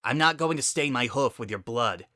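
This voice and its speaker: A man's voice: medium pitch, animated, normal speed, dry recording, studio quality. A man playing a tough, hostile female pegasus pony, scornful and accusing, in a dramatic story reading. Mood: angry accusing. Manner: forceful, scornful.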